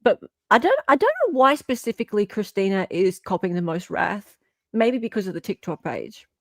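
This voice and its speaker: A woman hosting a live stream, chatting and reacting in an expressive, emphatic way. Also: mid-range voice, normal speed, no echo, studio quality. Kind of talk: casual chat and reaction.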